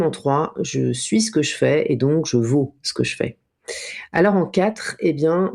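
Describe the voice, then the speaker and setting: A woman co-hosting a podcast, reading a calm, steady explanation in the manner of a coach. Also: low voice. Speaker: podcast co-host and enneagram coach. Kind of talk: read explanatory narration.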